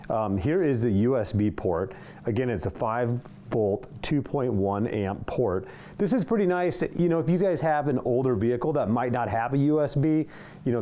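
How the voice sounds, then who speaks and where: A man's voice: medium pitch, mellow. A man giving a casual, hands-on product walkthrough in an informal way, talking as he handles items. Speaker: product reviewer for a radio shop.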